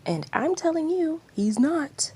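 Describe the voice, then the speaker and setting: A woman's voice: high-pitched, very animated, measured pace, a little mellow, voice pushed up. A woman voicing a prim, indignant male manager defending his client, with faint background noise.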